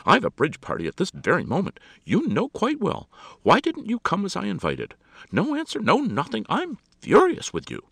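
A man's voice: a little high, huge pitch swings, measured pace, creaky, a bit bright, husky, no room sound, pitched above the performer's own voice, full character voice. A man voicing an imperious, exasperated society hostess in a storybook, theatrical, impatient and gushing. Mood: furious, impatient.

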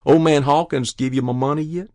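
Storybook farm boy, teens, played by a man: rural Southern drawl, raised pitch, earnest, insistent, pleading. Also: steady tone, fairly quick, a little mellow, no room sound, clean studio sound, lightly acted. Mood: hesitant, yearning. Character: eager, pleading teenage farm boy longing for a gun.

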